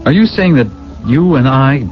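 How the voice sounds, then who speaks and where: A man's voice: mid-range voice, lively intonation, moderate pace, lightly acted. Earnest, stubborn man played by an actor. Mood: indignant. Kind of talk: 1980 radio drama acting. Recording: dull vintage radio, background music.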